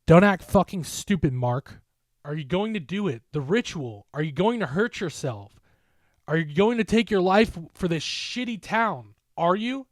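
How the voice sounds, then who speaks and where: A man reading as a young woman pushed to the edge, her voice raised well above his own and strained with tears. She shouts and begs in turn, loud and cracking. The recording is close and dry. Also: sits high, animated, normal speed, dry recording, voice pushed up. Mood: furious, tearful. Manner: shouting, pleading. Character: frantic young woman, tearful and desperate.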